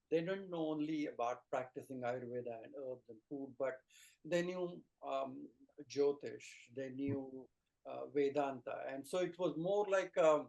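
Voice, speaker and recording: A man's voice: fairly high pitch, a little mellow, rough recording. A middle-aged man with an Indian accent, an Ayurvedic teacher explaining earnestly as an interview guest, building his points in short phrases and lists. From rural India.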